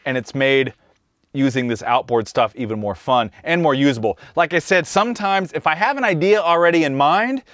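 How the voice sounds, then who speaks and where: A man's voice: a little high, normal speed, fairly bright tone, no room sound. A man from Kansas, the host and drummer, giving an easygoing, conversational walkthrough of how he mixed a track in his studio. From Kansas, USA. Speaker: host, mixing engineer and drummer. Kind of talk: casual studio tutorial. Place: recording studio control room.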